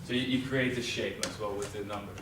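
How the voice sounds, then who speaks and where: A man's voice: lowish voice, fairly even intonation, a little fast. A young man with a Dutch accent giving a live presentation to a training group, hesitant, with many fillers and restarts. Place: training room with an audience. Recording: roomy, distant camera audio with noise.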